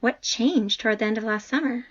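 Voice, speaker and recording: A woman's voice: fairly high pitch, brisk, fairly bright tone, lo-fi sound. A woman playing a skeptical, plain-spoken professor in an audio drama, casual and full of questions. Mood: skeptical, puzzled.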